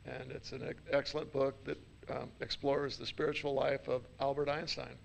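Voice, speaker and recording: A man reading out church announcements in a casual, halting way, full of fillers and restarts, with light self-mocking humor. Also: mid-range voice, little pitch movement, gravelly, slight background noise. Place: church sanctuary.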